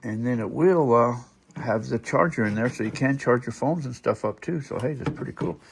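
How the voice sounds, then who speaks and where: A man's voice: medium pitch, moderate pace, gravelly. A man giving a casual, unscripted product demonstration, thinking aloud in a folksy way as he looks things over. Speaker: product reviewer showing gadget on video. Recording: faint background noise.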